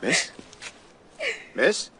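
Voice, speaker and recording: A man's voice: drawn-out words, sharp tone. An actor playing a polite, skeptical male reporter in a radio drama, asking dry, probing questions. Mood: concerned.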